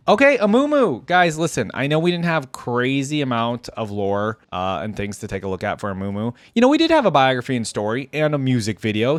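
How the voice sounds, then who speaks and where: A man's voice: medium pitch, no room sound. A man giving casual reaction commentary as a video host, rambling and thinking aloud into a close microphone. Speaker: YouTube host reacting to game characters.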